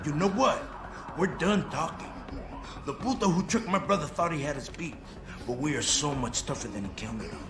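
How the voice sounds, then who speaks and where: An actor playing a volatile, cornered hostage taker in a video game, agitated and full of cocky street slang. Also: male voice, a little high, animated, normal speed, noisy background, close to an everyday voice. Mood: cocky, threatening. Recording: echoey game audio under loud music.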